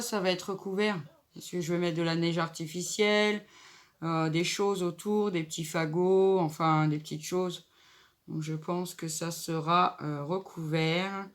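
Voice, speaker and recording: A woman with a cold and a nasal voice, chatting casually as she makes a table decoration and thinks aloud. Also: on the low side. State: stuffy nose from a cold. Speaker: craft vlogger. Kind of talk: casual crafting tutorial.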